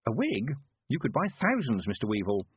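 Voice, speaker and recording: A man's voice: mid-range voice, huge pitch swings, moderate pace, husky, no room sound, phone-quality sound, heavily acted. A man voicing an earnest young girl in an audiobook, speaking in a tentative and polite way. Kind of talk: audiobook character voice.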